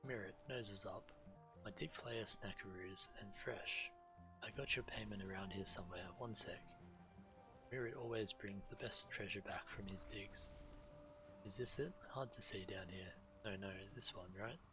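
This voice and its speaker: A man's voice: lowish voice, normal speed, low-quality recording. A man giving understated, matter-of-fact commentary on a video game and reading quest text aloud. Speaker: game streamer. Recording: game music faintly behind. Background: video game music.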